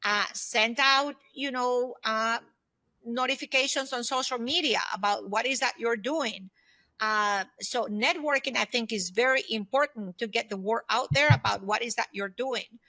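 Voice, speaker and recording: Woman in her fifties, bright forceful tone, slightly high pitch, conversational advice, interview guest, emphatic. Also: normal speed, dry recording, poor audio. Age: 50s. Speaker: podcast guest, former aerospace executive.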